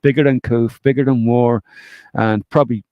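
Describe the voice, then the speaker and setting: A man's voice: mid-range voice, fairly even intonation, normal speed, no room sound, highs cut off. Sniffly man rambling on a live stream. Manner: rambling, repeats words, swears casually. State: sniffly, blocked nose. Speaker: co-host of a live online talk show. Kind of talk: casual live-stream chat.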